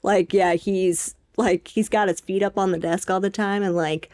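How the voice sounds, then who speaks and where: A woman's voice: medium pitch, steady tone, moderate pace, a little mellow. A young woman co-hosting a podcast in casual chat, explaining things informally and swearing casually. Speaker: podcast co-host.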